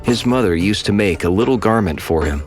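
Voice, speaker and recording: A man with a very deep voice narrates scripture in a steady, even way. Soft music runs underneath the studio recording. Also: a little fast.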